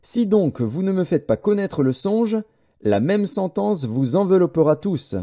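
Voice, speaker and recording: Audiobook scripture: male narrator as troubled king, dark mellow tone, animated, commanding and threatening. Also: high-pitched, a little slow, voice pushed up, exaggerated voice. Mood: stern, threatening. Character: troubled, suspicious king issuing harsh threats. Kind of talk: dramatised scripture reading.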